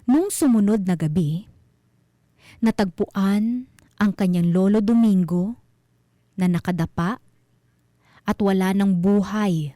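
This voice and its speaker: A woman with a Filipino accent narrating a scripted mystery story as host, in a measured, suspenseful manner. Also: medium pitch, warm tone, dry recording, studio quality.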